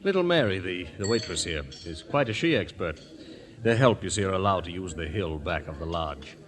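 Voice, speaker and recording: An actor playing a polite, talkative, affable but slightly evasive gentleman in a 1940s radio drama, given to careful explanation. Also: deep voice, lively intonation, a little fast. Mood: evasive, hesitant. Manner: polite, explanatory, hesitant when questioned. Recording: noisy vintage radio recording.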